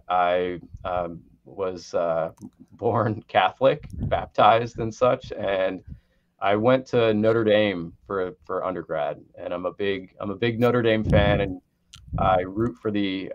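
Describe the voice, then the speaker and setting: A middle-aged man from Connecticut, a guest pathologist on a video call, talking in a rambling way with many restarts. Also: deep voice. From Connecticut, USA. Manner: rambling, frequent restarts and repeated words. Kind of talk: conversational interview on a video call. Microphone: headset mic on a video call. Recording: slightly dull video-call audio.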